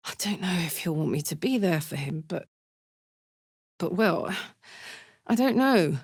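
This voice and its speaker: A brash, big-hearted warrior woman from the hells for a video game. Her delivery is slow but highly animated, swinging widely in pitch. She is blunt and sweary yet wears her feelings openly, and the recording is close and dry. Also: slightly rough, no room sound, full character voice. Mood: tender, earnest. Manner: earthy, blunt, sweary, emotionally open. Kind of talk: video game character voice lines.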